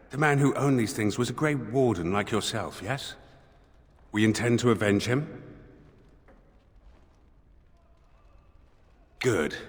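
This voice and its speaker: A solemn spirit in a dead man's body, from a fantasy game. The voice is low, deliberate and formal, with quiet wonder. It carries a long reverberant tail. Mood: solemn, curious. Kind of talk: video game voice acting.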